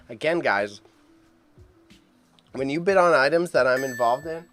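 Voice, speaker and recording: A man hosting a casual auction livestream, chatty and excitable as he rambles to his chat, with a mild impediment on sh and ch sounds. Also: a little high. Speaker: livestream host reacting to an online auction. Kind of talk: casual live stream commentary.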